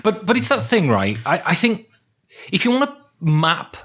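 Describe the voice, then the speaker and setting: A man's voice: high voice, huge pitch swings, normal speed, a bit bright. A man co-hosting a casual podcast about board games, joking and swearing freely, mocking himself. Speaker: podcast co-host.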